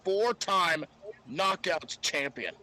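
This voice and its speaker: A man's voice: fairly high pitch, expressive, slow, pushed and bright. A man chatting casually as a podcast panelist, with noise behind his voice. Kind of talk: casual chat.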